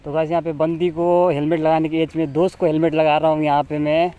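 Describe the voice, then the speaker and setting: A man's voice: fairly high pitch, flat delivery, fast talker, dark tone, clean tone, dull audio. A young man casually chatting and joking while filming his motorbike trip outdoors. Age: young adult. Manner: joking, banters with his friend. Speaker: vlogger. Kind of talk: casual motovlog chat. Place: outdoors on a hill road. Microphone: helmet-mounted camera. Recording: outdoor wind and road noise.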